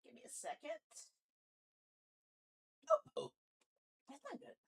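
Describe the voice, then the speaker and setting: A woman's voice: sits high, wildly swooping pitch, takes it slowly. A woman hosting a casual gaming livestream, talking in a rambling, candid, grumbling way. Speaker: video game livestreamer, host.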